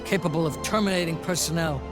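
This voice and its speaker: An actor playing a cold, evasive intelligence officer in a video game, speaking in a measured, stern and deliberate way. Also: male voice, on the high side, over loud music, close to an everyday voice. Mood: grave, explaining. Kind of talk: cinematic video game acting.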